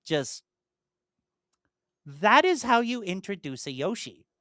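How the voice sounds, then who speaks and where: A young man co-hosts a casual podcast, talking eagerly and circling back through his thoughts. His voice is bright and crisp, a little higher than most men's. The sound is close and dry. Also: big pitch swings, takes it slowly, no room sound. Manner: enthusiastic, rambling with restarts. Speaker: podcast co-host. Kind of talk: casual chat.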